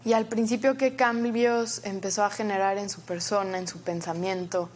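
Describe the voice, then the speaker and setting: A woman's voice: mid-range voice, fairly even intonation, moderate pace, darkish tone, a little hiss or hum. A woman hosting an interview, introducing and questioning her guest in a polite, formal way. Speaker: interview host.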